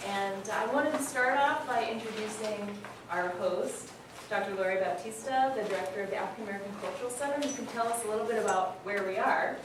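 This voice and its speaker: A woman's voice: mid-range voice, fairly quick. A woman giving a welcome speech to an audience as director of a center hosting the event. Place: large indoor room at a public event. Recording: echoey, noisy hall from far off, crowd. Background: audience chatter and room noise.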